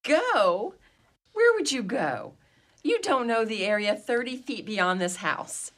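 A woman's voice: on the high side, very animated, slow pace. A woman playing a dignified, imperious wealthy mistress of an old mansion in a comedy radio play, with a grand, formal, theatrical way of talking. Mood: scolding, imperious.

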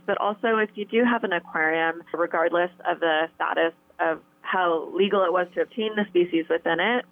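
A woman's voice: lowish voice, creaky. A woman biologist answering interview questions in a careful, explanatory way, with some hesitations. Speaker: state biologist. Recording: phone line.

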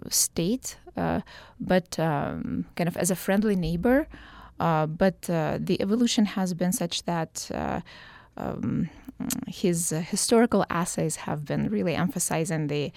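Creaky-voiced woman from Ukraine. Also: fairly low pitch, mellow, no room sound. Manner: thoughtful, many filler words and restarts. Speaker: political science professor, guest. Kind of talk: radio interview answers.

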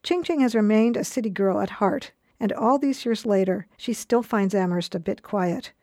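A woman narrating a scripted radio profile in an even, storytelling manner. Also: medium pitch, normal speed, dry recording, studio quality. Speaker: radio program managing director.

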